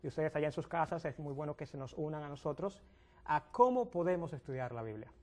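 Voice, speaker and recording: A man's voice: on the high side, lively intonation, mellow. Man hosting a youth show. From Dominican Republic. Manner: halting, restarts words, addresses viewers at home. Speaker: youth pastor and host. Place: TV studio.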